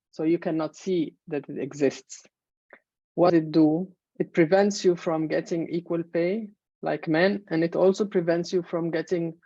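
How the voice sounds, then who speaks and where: A woman's voice: on the low side, mellow, no room sound. Egyptian woman giving an online talk. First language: Arabic. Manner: measured, explanatory, frequent fillers. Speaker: main speaker. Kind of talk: webinar presentation. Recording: dull, muffled webinar audio.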